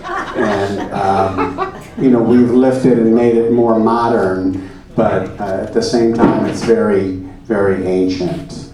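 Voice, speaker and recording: A man's voice: on the low side, steady tone, slow pace, mellow. A man playing an earnest, rambling puppet-troupe spokesman, hesitantly fielding questions in a live comedy sketch. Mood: earnest, explaining. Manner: hesitant, rambling, over-explaining. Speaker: moderator of a comic question session. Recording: echoey room, far mic, audience laughter.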